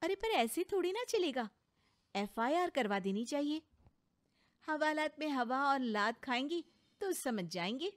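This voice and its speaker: A woman's voice: high-pitched, very animated, measured pace, dry recording. A woman playing a bold young married woman in a cartoon story, pointed and determined. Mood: indignant. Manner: pointed, assertive. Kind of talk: Hindi cartoon story acting.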